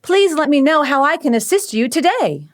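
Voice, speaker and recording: High, lilting female robot assistant acted by a woman. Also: lively intonation, moderate pace. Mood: cheerful, helpful. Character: friendly, cheerful female robot home assistant. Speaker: voice actor. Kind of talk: scripted robot assistant demo.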